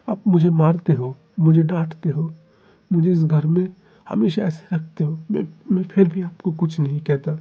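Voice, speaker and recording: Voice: mid-range voice, slightly rough, narrow, tinny audio. A storyteller voicing an upset young man accusing his partner.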